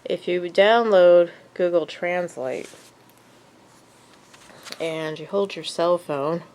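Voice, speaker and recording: A woman's voice: lowish voice, slow, a little mellow, a little hiss or hum. A woman with a cold, sniffly and hesitant, talking casually in a show-and-tell vlog with lots of ums and uhs. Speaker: vlogger.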